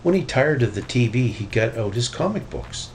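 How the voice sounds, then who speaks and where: Animated man reading a children's story. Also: medium pitch, normal speed, a little hiss or hum. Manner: expressive read-aloud delivery. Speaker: storybook reader. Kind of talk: scripted children's story narration.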